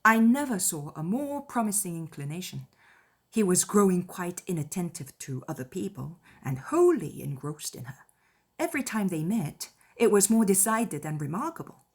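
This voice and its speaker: A woman voicing a witty, spirited young gentlewoman with an emphatic, ironic delivery in a dramatized classic novel. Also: fairly low pitch, very animated, normal speed, husky, exaggerated voice. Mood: playful, arch.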